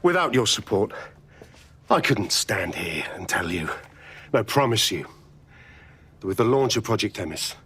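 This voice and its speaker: An actor playing a cold, ruthless male security company boss, speaking in a calm, controlled, menacing way. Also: on the low side, measured pace, pauses often, husky, faint music behind, full character voice. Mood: confident.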